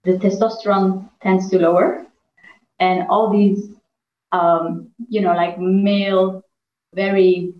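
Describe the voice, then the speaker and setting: Earnest woman in an echoey, muffled room. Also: medium pitch. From Iran. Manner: earnest, many fillers and restarts. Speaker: negotiation trainer and executive coach. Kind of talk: reflective interview answers. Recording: echoey, dull lo-fi room sound.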